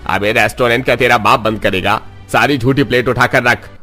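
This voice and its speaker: A man playing a stingy, sarcastic restaurant boss in a cartoon story, scolding his staff in a gruff, scornful way. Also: medium pitch, fairly even intonation, brisk, bright, forceful tone, faint music behind, natural voice. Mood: angry scolding. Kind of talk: Hindi cartoon story acting.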